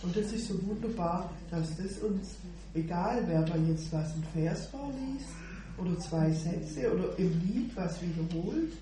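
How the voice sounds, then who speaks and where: A woman's voice: fairly low pitch, unhurried, few pauses, warm tone. Hesitant woman in an echoey hall. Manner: hesitant, many "äh" fillers, laughs easily. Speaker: church member sharing. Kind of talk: informal devotional sharing. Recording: echoey room mic from far off with background noise.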